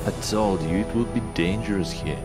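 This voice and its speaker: A man gives voice to a menacing rival racer in a video game, deep and taunting. His voice sits very low, and loud music plays behind him. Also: a little fast, natural voice. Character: menacing middle-aged male rival racer. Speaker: villain racer Woods. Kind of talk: video game cutscene voice acting. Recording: music playing loudly behind.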